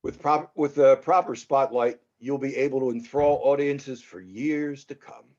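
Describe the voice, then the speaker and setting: Male actor as a coaxing, quietly dangerous producer in a screen drama read, mid-pitched, muffled recording. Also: normal speed, natural voice. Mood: flattering. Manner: flattering, coaxing. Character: smooth, flattering male film producer with menacing intent. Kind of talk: screenplay table read acting. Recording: dull lo-fi recording.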